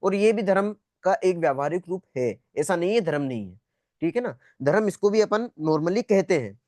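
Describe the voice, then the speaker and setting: A man with a high-pitched voice teaches a spiritual class on a video call. He talks conversationally, repeating his points and asking questions to check that listeners follow. The sound is dry, with little room echo. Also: lively intonation, moderate pace, a little mellow. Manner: conversational, repetitive, checking understanding often. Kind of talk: interactive lecture, questioning students.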